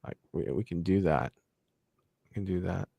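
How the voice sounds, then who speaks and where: Chill guy hosting a live stream, lowish voice, dry mic, laughs a lot. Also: normal speed, creaky, warm tone, breathy edge, no room sound. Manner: laid-back, laughing, swears casually. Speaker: host. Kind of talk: casual live-stream chat.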